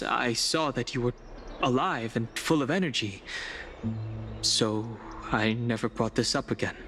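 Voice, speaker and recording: Actor for a sincere young male companion; calm, explanatory, mid-range voice. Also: mid-range voice, lightly acted.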